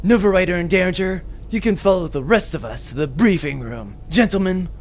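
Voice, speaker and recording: A man's voice: sits high, brisk, a little hiss or hum. A man playing a cocky, pompous macho military sergeant in a comic sci-fi audio drama. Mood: domineering. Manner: pompous, deadpan introductions.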